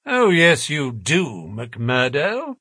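A man voicing a genial, confident gentleman detective in an audiobook story. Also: a little high, lively intonation, a little slow, breathy edge, no echo, studio quality, voice pushed up, heavily acted. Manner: genial, assured.

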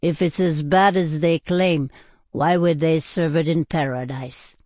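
A man's voice: a little high, fairly even intonation, unhurried, a little mellow, dry recording, studio quality, close to an everyday voice. A man voicing a cheeky, tipsy drunkard who is indignant and argumentative, in an audiobook style. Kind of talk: audiobook character acting.

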